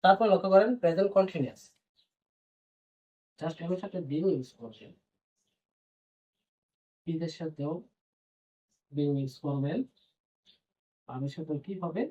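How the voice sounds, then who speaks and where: A man's voice: on the high side, unhurried, long pauses, darkish tone. A man teaching a grammar lesson, repeating points and answering his own questions as he explains. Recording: dull, lo-fi band-limited sound.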